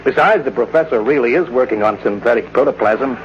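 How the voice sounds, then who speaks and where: An actor playing a brash, pushy, cynical newspaper reporter, breezy and insistent, in old-time radio drama. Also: male voice, mid-range voice, fairly quick, natural voice. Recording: muffled, hissy old radio recording.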